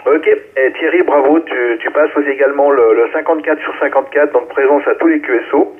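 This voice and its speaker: A man's voice: medium pitch, steady tone, fairly quick. A man hosting an amateur radio net, handing over the microphone over a narrow two-way radio link. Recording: narrow, tinny ham radio relay.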